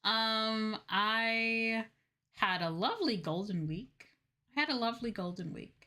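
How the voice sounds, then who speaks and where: A woman's voice: on the high side, a little slow, pushed and bright. A woman livestream host chatting casually to her viewers in an informal, gushing way. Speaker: game livestream host, VTuber.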